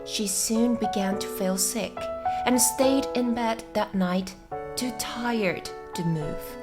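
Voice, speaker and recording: Female narrator, native Japanese, higher pitch; soft, careful, unhurried story reading for language learners, music bed underneath. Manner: soft, measured, careful phrasing. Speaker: English teacher and channel host. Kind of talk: gentle slow storybook read-aloud for English learners. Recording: music behind the voice. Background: background music.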